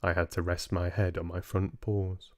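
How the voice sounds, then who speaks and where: A man in his twenties reading a children's story aloud in an expressive audiobook style. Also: sits low, normal speed. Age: 20s. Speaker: reader and book club host. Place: small home room, indoors.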